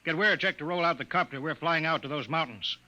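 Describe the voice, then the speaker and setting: An actor playing a brusque, bossy colonial bureau chief who blusters and gives orders, in 1950s radio drama style. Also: male voice, on the high side, fast talker, highs cut off, lightly acted. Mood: gruff, determined. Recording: noisy vintage radio recording.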